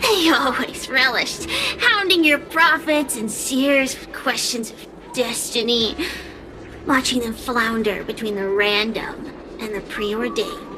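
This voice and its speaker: A woman's voice: fairly high pitch, slow, poor audio. A female fire spirit from a video game, sly and full of menace. She talks with theatrical, haughty condescension, as if above mere mortals. Music runs under her words. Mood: disdainful, grand. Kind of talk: video game cutscene voice acting.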